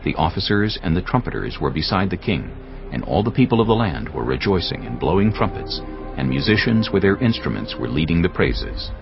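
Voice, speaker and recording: A man narrating scripture in a measured, solemn storytelling style, as in a dramatized audio Bible. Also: low-pitched, big pitch swings, gravelly. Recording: slightly muffled, music behind. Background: background music.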